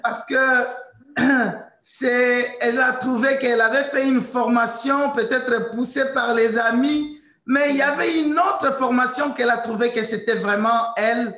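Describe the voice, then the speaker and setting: A woman hosting an interview, introducing her guest in a slow, hesitant way and slipping into English now and then. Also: a little high, steady tone, fairly bright tone, echoey room. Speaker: interview host.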